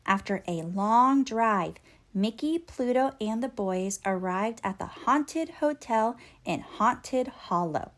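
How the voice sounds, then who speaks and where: A woman giving an expressive read-aloud narration of a children's storybook. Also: a little high, slow. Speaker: storybook reader.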